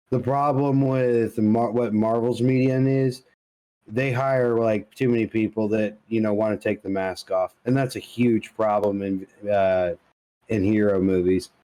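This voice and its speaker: A man with a mellow, darker tone chats as a podcast co-host. His delivery is casual and blunt, full of slang and swearing, like opinionated banter between friends. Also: fairly low pitch, fairly even intonation, unhurried, low-quality recording.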